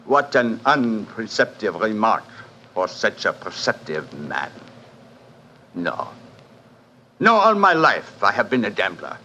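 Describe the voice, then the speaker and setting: Suave French gambler, courtly, fatalistic, bright forceful voice, swooping intonation, old radio drama. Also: male voice, fairly low pitch, very animated, slight background noise, heavily acted. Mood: fatalistic, proud. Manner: courtly, theatrical, deliberate. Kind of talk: 1940s radio drama acting. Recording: muffled vintage 1940s radio broadcast.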